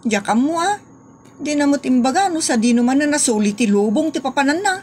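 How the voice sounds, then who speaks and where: A woman playing a young wife in a comedy drama, talking quickly and accusingly. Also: high-pitched, expressive, darkish tone, a little hiss or hum. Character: young wife, daughter-in-law. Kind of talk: Ilocano comedy drama acting.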